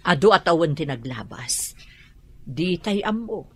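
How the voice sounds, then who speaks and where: A woman playing a frank, reproachful older aunt in a radio drama, with a husky voice. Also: low-pitched, lively intonation, a little slow, full character voice. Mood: reproachful, concerned.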